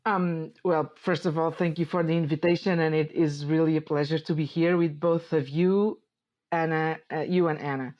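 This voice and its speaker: A woman's voice: deep voice, fairly even intonation, moderate pace, a little mellow. Portuguese-accented woman, dull lo-fi sound. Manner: hesitant, many fillers and restarts, laughs easily. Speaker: sheep dairy farmer, guest. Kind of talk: interview conversation.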